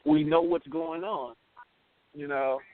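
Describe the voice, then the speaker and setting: A man's voice: a little high, steady tone, takes it slowly, no room sound. A man chatting casually as a talk show co-host, slangy and emphatic, repeating words to make his point. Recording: tinny phone line with hiss and noise.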